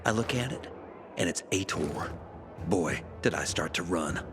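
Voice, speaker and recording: A jokey scoundrel locked up in a video game. His pitch sits low but leaps around in excited exclamations. He wheedles and spins tales, with music playing behind him. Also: measured pace. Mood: animated storytelling. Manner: joking, wheedling storyteller, swinging into exclamations. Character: wisecracking male prisoner, fast-talking scoundrel who jokes through misery. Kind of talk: video game cutscene acting.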